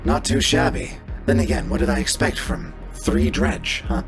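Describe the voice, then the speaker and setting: A man voicing a smug, condescending tough guy with a gravelly, mocking drawl in a tabletop roleplay. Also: moderate pace, faint music behind, close to an everyday voice.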